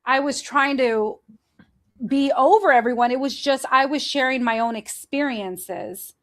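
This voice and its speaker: Woman host, higher voice, chatty and animated, laughs easily. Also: measured pace. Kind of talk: candid conversation.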